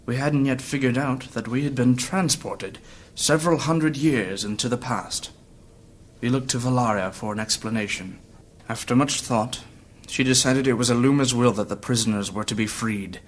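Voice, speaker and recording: A man's voice: medium pitch, moderate pace, slight background noise, natural voice. A man playing a wounded, earnest adventurer who tells his story courteously and formally in a fantasy audio drama. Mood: intense.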